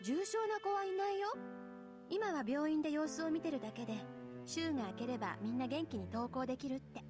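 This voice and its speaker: A bubbly young woman, played by a voice actress in an anime visual novel, high-pitched and talking very fast. She is carefree and playful, like a breezy big sister, with loud music behind her. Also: lightly acted. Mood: reassuring, cheerful. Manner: playful, breezy, casual speech. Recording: game dialogue over loud music.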